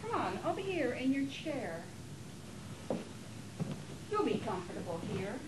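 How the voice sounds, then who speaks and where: A woman playing a gentle, patient wife who soothes and reassures, in an amateur stage play. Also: on the high side, big pitch swings, measured pace. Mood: soothing, reassuring. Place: theater during a rehearsal. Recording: echoey, very noisy theater rehearsal tape.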